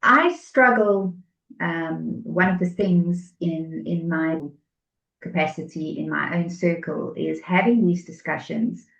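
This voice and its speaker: A woman's voice: lowish voice, slow, creaky, fairly bright tone, reverberant, dull audio. A South African woman with a South African English accent, hosting an interview and speaking thoughtfully, sometimes searching for words. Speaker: podcast host. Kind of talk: interview conversation.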